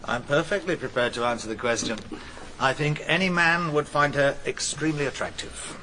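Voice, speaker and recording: Smug middle-aged Englishman in the witness box: bright, forward voice, formal radio-drama manner, background noise. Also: on the high side, normal speed, poor audio. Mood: confident. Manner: self-assured, formal, slightly pompous. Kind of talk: radio drama courtroom acting.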